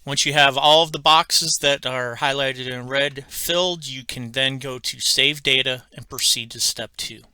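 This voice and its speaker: A man with a very bright, forceful voice walks through software steps as an instructor. His delivery is halting and methodical, and the sound is crisp and dry, recorded close indoors at a computer. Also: mid-range voice, fairly even intonation, no room sound. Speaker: tutorial instructor. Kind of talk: step-by-step software tutorial, unscripted explanation.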